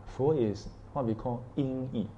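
A man's voice: medium pitch, measured pace, mellow, highs cut off, a little hiss or hum. Chatty man teaching a class. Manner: chatty, asks the class questions, frequent "yeah" and "ah". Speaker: Buddhist teacher. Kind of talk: informal dharma class talk.

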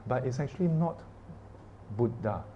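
A man's voice: medium pitch, animated, normal speed, dark tone, muffled recording, slight background noise. Chatty man teaching a class. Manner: chatty, asks the class questions, frequent "yeah" and "ah". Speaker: Buddhist teacher. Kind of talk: informal dharma class talk.

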